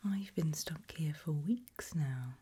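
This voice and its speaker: Whispering British woman, low-voiced ASMR doctor. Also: slight background noise. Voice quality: breathy whisper. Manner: gentle, unhurried, reassuring. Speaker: ASMR roleplayer playing a doctor. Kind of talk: whispered ASMR medical roleplay.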